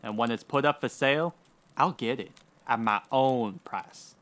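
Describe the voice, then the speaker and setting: A man's voice: mid-range voice, unhurried, fairly bright tone, lightly acted. Pompous Old West villain voiced by a man. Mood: smug, cold. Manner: pompous, cold, indignant. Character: greedy, haughty Old West land grabber. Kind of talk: old-time radio western villain acting.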